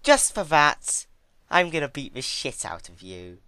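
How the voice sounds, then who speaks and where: A cruel, gleeful female pony villain from a dark storybook tale, acted out by a man. The voice is pushed up and bright, swinging widely in pitch as it drags out sugary taunts with unhinged relish. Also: big pitch swings, clear, resonant tone, no echo, exaggerated voice. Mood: spiteful. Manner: mock-sweet, taunting, drawn-out delivery.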